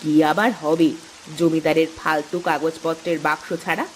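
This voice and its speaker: A woman's voice: low voice, flat delivery, normal speed, a little mellow, a little hiss or hum, close to an everyday voice. A woman voicing a bossy, greedy, scheming village wife in a moral-story cartoon, insistent and exclamatory. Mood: scornful, bossy.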